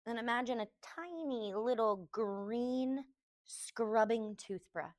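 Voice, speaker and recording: Slow-talking woman guiding a meditation. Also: on the high side. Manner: gentle, unhurried instruction in short phrases with long pauses. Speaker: yoga teacher. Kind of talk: guided meditation for a yoga class.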